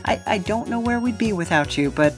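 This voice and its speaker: A woman's voice: mid-range voice, lively intonation, brisk, soft background music. A woman hosting a podcast, speaking in a casual, chatty style. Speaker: podcast host and writer.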